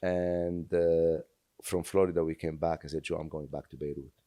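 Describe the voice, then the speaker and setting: Deep-voiced man in his sixties. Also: steady tone, warm tone, no echo. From Lebanon. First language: French. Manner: earnest, halting with many fillers. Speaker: guest. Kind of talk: reflective interview storytelling.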